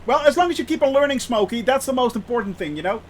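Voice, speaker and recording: High-voiced Dutch-accented streamer. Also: male voice, animated, fast talker, a little hiss or hum. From Netherlands. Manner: chatty, hesitant, many restarts, laughs often. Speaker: flight sim streamer. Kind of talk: casual live-stream chat.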